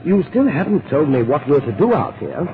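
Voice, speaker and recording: An actor playing a proper, fussy, loyal manservant sidekick, deferential but drily skeptical, in 1940s radio drama style. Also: male voice, fairly high pitch, very animated, normal speed. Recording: tinny, narrowband old radio recording.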